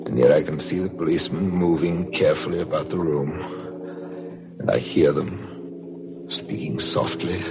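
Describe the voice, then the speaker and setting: An actor playing a guilt-ridden paralyzed man in a slow, brooding monologue from a 1940s radio horror drama. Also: very deep voice, background noise. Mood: haunted, hushed. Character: paralyzed guilty man narrating his living death. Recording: muffled vintage radio recording with music.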